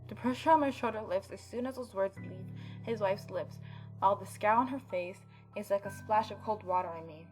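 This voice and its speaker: Casting: woman gaming host, medium-pitched, narrates a visual novel theatrically and playfully over soft music. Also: brisk, poor audio.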